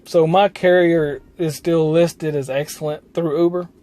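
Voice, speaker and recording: A man's voice: a little high, fairly even intonation, measured pace, mellow. A man talking casually as a trucker vlogger, explaining things in a folksy, colloquial way.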